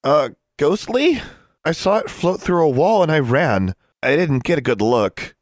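A man's voice: a little high, lively intonation, unhurried, no room sound, clean studio sound, pitched above the performer's own voice. A man voicing a frightened, easily cowed schoolboy with a quavering, hesitant delivery in a storybook reading. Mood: shaken.

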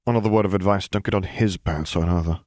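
A man's voice: deep voice, fairly even intonation, fast talker, darkish tone, no echo, lightly acted. A man voicing a wry middle-aged general in an audiobook, dry and sardonic, always ready to snipe. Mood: dry, sniping.

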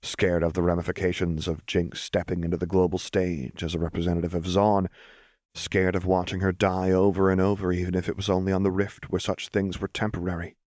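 A man's voice: sits low, flat delivery, fairly quick, darkish tone, no echo, clean studio sound. A man reading a dramatic story aloud as scripted audiobook narration. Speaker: audiobook reader.

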